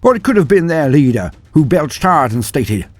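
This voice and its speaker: A man with a husky voice tells a comic fantasy story as a grand mock epic. His delivery is theatrical and highly animated, rising and falling widely over a soft music bed. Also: moderate pace. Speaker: narrator and storyteller. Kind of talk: dramatic comedic scripted fantasy narration. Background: background music.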